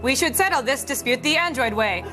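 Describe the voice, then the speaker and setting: A woman's voice: sits high, animated, brisk, loud music behind the voice. A woman voicing an earnest, literal-minded male android starship officer with stiff, formal delivery in an amateur table read. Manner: stilted, formal, literal recitation.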